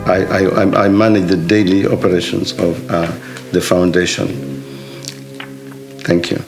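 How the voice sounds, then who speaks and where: Very deep-voiced halting Kenyan man. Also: steady tone, gravelly, music in the background. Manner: halting, measured, many pauses. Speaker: foundation founder. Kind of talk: personal account, interview-style.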